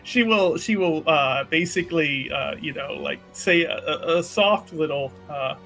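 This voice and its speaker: Slow, halting man with a higher voice. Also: very animated, pushed and bright. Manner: halting, hesitant. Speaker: player in a tabletop roleplaying game. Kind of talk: casual unscripted character narration. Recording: faint music behind.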